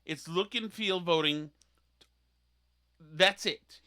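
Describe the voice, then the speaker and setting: Sarcastic, bright-voiced man ranting. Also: high voice, slow. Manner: animated, sarcastic, mocking. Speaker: podcast host. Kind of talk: opinionated podcast rant.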